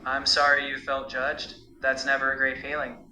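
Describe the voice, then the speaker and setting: Male AI tutor, very bright sharp tone, roomy sound, light noise, measured coaching. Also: medium pitch, flat delivery. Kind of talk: encouraging spoken feedback.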